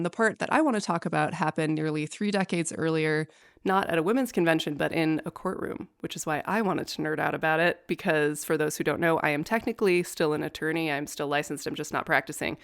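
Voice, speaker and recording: A low-pitched young woman co-hosts a chatty podcast. She tells history with dry, profane humor. Her voice sits deep for a woman and stays relaxed and conversational. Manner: wry, profane, nerdy about law. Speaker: podcast co-host. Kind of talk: casual storytelling chat.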